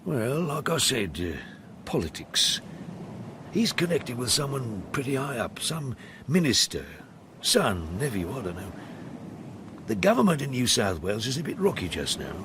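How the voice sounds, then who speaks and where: An actor playing a seedy, eager-to-please sea captain in a radio drama, talking colloquially and evasively. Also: male voice, mid-range voice, sing-song, normal speed, background noise. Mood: confiding. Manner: colloquial, evasive, hesitant when pressed.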